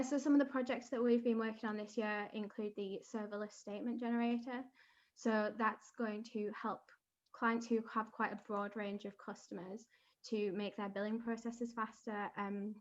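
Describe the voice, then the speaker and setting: A woman giving a steady work update on a conference panel as a product owner, using filler ums and yeahs. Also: medium pitch, muffled recording.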